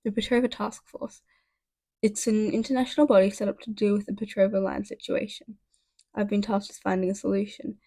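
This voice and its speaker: An authoritative middle-aged businesswoman from a storybook, read out by a woman. She is brisk and matter-of-fact. The voice is mid-pitched and creaky, with a dry close sound. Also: flat delivery, warm tone, no echo. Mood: brisk, official. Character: authoritative mid-forties European businesswoman, brisk task force official.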